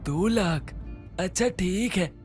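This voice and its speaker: Woman voicing a gentle worried father. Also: on the low side, lively intonation, takes it slowly, soft background music. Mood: caring. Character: caring middle-aged father, gentle and worried.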